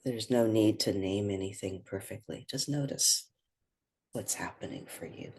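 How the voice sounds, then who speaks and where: A meditation guide speaking gently and without hurry, leaving pauses between short phrases. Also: sits low, slightly rough.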